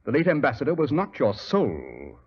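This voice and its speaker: An actor playing a shrewd, commanding English gentleman detective with a British accent and clipped delivery in an old radio mystery. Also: mid-range voice, huge pitch swings, fairly quick, husky. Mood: shrewd, probing. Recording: dull, muffled 1940s radio recording.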